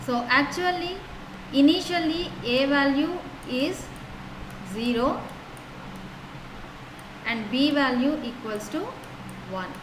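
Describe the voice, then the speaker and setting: Woman, high voice, teacher, step-by-step explanation, roomy echo, noisy background. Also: slow pace, pauses often. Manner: steady, explanatory, repeats "so" and "now". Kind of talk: lecture walking through code. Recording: echoey room with noise.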